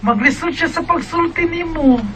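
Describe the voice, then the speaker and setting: An actress playing a young woman friend in a radio drama, speaking gently and hesitantly as she treads carefully. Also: very high voice, moderate pace, very noisy. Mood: hesitant, worried. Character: hesitant young woman friend reluctantly breaking painful news. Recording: loud music behind the voice.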